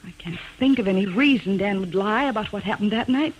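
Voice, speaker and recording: A woman's voice: mid-range voice, brisk, muffled recording, natural voice. Actress as strained young mother pleading her case, American, earnest 1940s radio acting, faint hiss. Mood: earnest, defensive. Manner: earnest, defensive. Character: strained, defensive young mother fighting to keep her child. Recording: noisy vintage radio drama recording.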